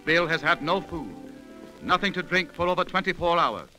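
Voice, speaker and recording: A man's voice: on the high side, animated, moderate pace, pushed and bright, low-quality recording. A man narrating a 1930s radio drama in a dramatic, formal manner. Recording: old radio broadcast with faint music.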